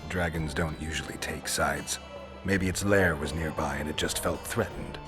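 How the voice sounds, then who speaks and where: An actor playing a stoic fantasy monster hunter in a video game, terse, dry and sardonic. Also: male voice, extremely low pitch, fairly even intonation, normal speed. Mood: calm, matter-of-fact. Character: stoic, gravelly-toned male monster hunter, dryly sardonic. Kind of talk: cinematic fantasy game acting. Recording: music behind the voice.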